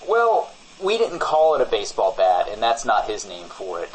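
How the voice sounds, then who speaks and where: A man playing a shady, evasive businessman in improvised comedy banter, deadpan and casually menacing, often backtracking on himself. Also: fairly low pitch, moderate pace, poor audio. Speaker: podcast co-host playing a character.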